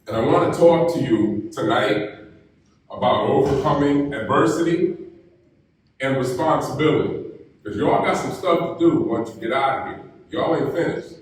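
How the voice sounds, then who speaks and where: A man's voice: mid-range voice, animated, a little hiss or hum. Man giving a speech in an echoey hall. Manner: storytelling, slow deliberate delivery. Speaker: keynote speaker. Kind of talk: graduation keynote speech. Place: university ceremony hall. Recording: echoey hall, mic heard from a distance.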